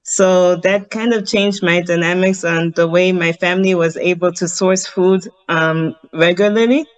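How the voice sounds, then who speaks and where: A woman's voice: fairly low pitch, steady tone, a little slow. Earnest woman from Zimbabwe, vegan chef. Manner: earnest, explains step by step with many ums. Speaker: vegan chef, interview guest. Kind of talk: remote interview answers. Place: home in Harare.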